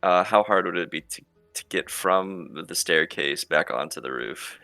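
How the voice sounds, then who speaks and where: A man with a very low voice talks casually as a player in a tabletop roleplaying game. He is hesitant and thinks aloud, with plenty of gravelly fry. The sound is close and dry, with faint music behind him. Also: expressive, moderate pace, sharp tone, no echo.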